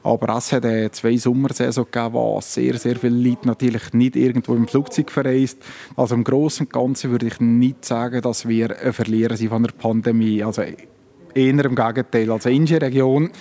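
A man's voice: medium pitch, mellow. A man with a Valais Swiss German accent, a sports shop manager speaking plainly with hesitations.

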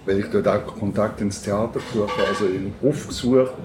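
A man's voice: fairly low pitch, fairly even intonation, brisk, noisy background. A man from Switzerland chatting and reminiscing casually as an interviewer in conversation. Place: at a table with food being served. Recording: clear clatter of dishes and cutlery.

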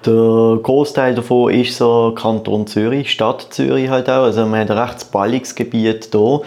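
A man's voice: medium pitch, fairly even intonation, unhurried, darkish tone. A man in his thirties with a Swiss German accent, chatting casually as an interview guest and often repeating words as he goes. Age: 30s. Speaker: podcast guest, start-up entrepreneur.

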